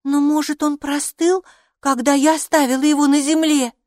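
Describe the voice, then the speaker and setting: Woman voicing an excitable, high-pitched little storybook girl. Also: moderate pace, no echo, studio quality, lightly acted. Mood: earnest. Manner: emphatic, excitable, matter-of-fact. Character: lively, stubborn, inquisitive little girl. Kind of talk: children's audiobook character voice.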